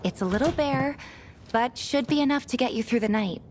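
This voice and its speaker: An actress playing a friendly, caring young woman in a video game, speaking gently and conversationally with slight hesitations. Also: a little high, brisk, noisy background, lightly acted. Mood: warm, helpful. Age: young adult. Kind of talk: video game cutscene voice acting. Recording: background music under dialogue. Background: game music.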